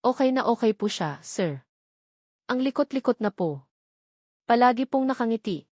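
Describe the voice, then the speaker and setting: A woman's voice: on the high side, animated, moderate pace, darkish tone, no room sound, studio quality. A woman narrator voicing a polite, dutiful young working mother in an audiobook drama. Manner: respectful, courteous.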